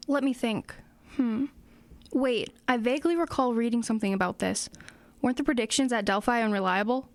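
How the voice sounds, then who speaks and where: A woman's voice: fairly high pitch, a little fast, natural voice. A woman playing a practical, inquisitive small-town girl in an audio drama. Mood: puzzling it out.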